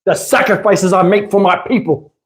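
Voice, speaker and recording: High voiced guy on a livestream, slangy rambling chat w viewers, swears a lot. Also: moderate pace. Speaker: livestream host.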